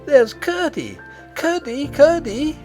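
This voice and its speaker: An earnest little princess from a bedtime storybook, played by a man. The voice is lifted high and swings brightly with childlike eagerness and affection, over clear background music. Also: very animated, takes it slowly, full character voice. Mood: joyous.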